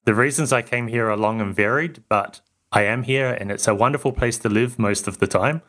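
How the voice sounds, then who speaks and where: A man from New Zealand with a mixed New Zealand and Australian accent, speaking calmly as a podcast guest with dry humor. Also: medium pitch, a little fast. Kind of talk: casual conversational interview.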